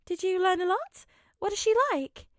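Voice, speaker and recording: A woman puts on a storybook mother, high-pitched and gentle. She dotes and frets softly in a caring manner. The recording is dry, with no room around it. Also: moderate pace, no echo. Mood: curious. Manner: gentle, doting. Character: caring, gently fretful mother of a teenage boy.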